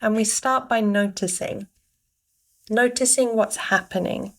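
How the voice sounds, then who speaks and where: Slow-paced woman guiding a meditation. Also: a little high. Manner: unhurried, pausing between short phrases. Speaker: meditation guide. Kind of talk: guided meditation, gentle spoken instructions.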